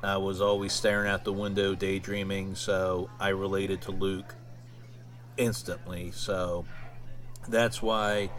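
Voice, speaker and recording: A man with a fairly low voice talks casually as a solo podcast host. His delivery is conversational and a little halting, as if thinking it through while he speaks. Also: little pitch movement.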